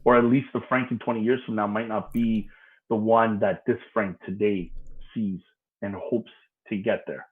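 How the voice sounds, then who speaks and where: A man in his forties talking as a guest on a business podcast, emphatic and animated, laughing easily between points. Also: on the low side, normal speed, narrow, tinny audio. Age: 40s. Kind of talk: casual podcast conversation.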